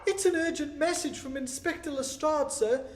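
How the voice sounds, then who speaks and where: A woman's voice: high-pitched, moderate pace, natural voice. A woman playing a respectful young messenger boy in a radio play, speaking urgently. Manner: urgent, deferential.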